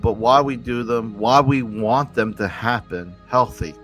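Male host, mid-pitched, earnest and halting, soft music bed behind. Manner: earnest, halting, restarts often. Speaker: podcast host. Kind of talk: reflective casual conversation.

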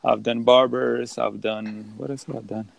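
A man's voice: medium pitch, level tone, moderate pace, gravelly. A young man from the Dominican Republic who hosts a podcast, chatting casually and rambling with many restarts. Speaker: podcast host. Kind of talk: casual chat on a call.

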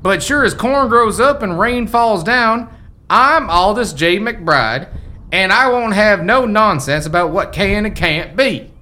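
Guy doing a cranky old american rancher ghost, high bright voice, slow folksy bluster for a radio play. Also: animated, exaggerated voice. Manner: folksy, blustering frontier talk.

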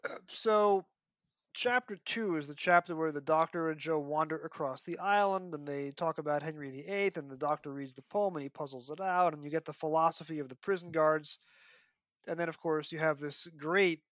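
A man talks as a podcast host in a slightly high register. He is conversational and analytical, eager about the finer details. The recording is very dry and a little dull. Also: normal speed, fairly bright tone, no echo. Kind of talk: conversational book discussion podcast.

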